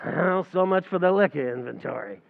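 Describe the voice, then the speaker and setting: A man acting a scheming, fast-talking tavern manager with a gravelly, streetwise voice and mangled grammar, in an old-time radio comedy recreation. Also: sits high, expressive, big-room sound, phone-quality sound. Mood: wheedling. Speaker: stage actor in a radio play recreation.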